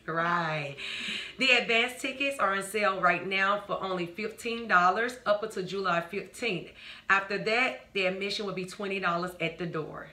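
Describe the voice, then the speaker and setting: A woman's voice: mid-range voice, moderate pace, sharp tone. A middle-aged woman presenting a video announcement, recorded indoors on a camera mic a few feet away. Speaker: presenter promoting a stage play. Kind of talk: video announcement and playful home skit. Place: indoor home room. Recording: echoey room, slightly distant, noisy.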